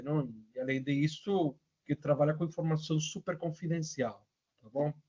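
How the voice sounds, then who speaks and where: A man with a Venezuelan accent presenting a webinar over a video call, speaking casually with many fillers and tag questions. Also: fairly high pitch. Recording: muffled lo-fi audio.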